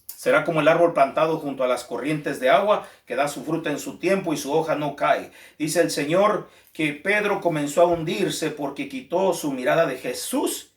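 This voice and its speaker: A man's voice: a little high, moderate pace, echoey room. A man preaching a fervent sermon, repeating phrases and calling his listeners brothers.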